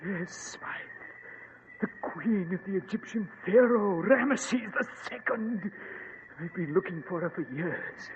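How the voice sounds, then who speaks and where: Excitable, dismissive gentleman explorer, high-pitched, vintage radio melodrama with some hiss. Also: a little slow, long reverb tail. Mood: awed, determined. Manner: theatrical, dismissive, exclaiming. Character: imperious, excitable English gentleman archaeologist. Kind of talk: old-time radio drama acting. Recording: dull, hissy old radio recording.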